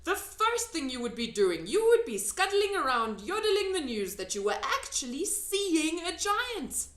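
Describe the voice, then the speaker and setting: Lady doing a big friendly storybook giant, high swoopy voice, earnest n excitable, muddles his grammar, echoey room. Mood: excited, alarmed. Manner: muddled grammar, emphatic drawn-out delivery. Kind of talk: children's storybook character reading.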